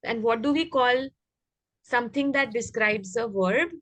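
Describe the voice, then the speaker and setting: A woman's voice: fairly high pitch, a little slow, fairly bright tone, no echo. A woman with an Indian accent teaching an interactive online English lesson to young children, prompting them with repeated questions. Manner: instructive, repetitive questioning, prompting. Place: online video class.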